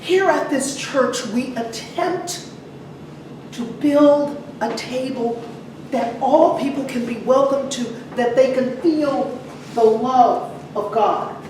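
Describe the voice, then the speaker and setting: Woman preacher, sermon, conversational, rhetorical questions, deliberate pauses, very reverberant church, distant noisy mic. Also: high voice, darkish tone. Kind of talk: sermon to a congregation. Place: large echoey church room. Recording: very echoey, distant mic, some noise.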